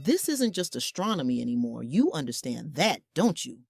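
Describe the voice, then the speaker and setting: A woman's voice: sits low, very animated, normal speed, creaky, darkish tone, breathy edge, dry recording, clean studio sound, faint music behind, exaggerated voice. A woman playing a stern, cautious director in a sci-fi audio drama, speaking with commanding authority. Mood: grave, commanding.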